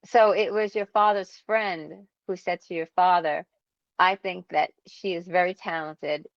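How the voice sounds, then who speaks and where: A woman's voice: fairly low pitch, fairly even intonation, a little slow, no room sound, muffled recording. A woman who hosts the interview, asks questions and restates the guest's answers back to her. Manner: prompting, rephrases the guest's answers. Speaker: interviewer, host.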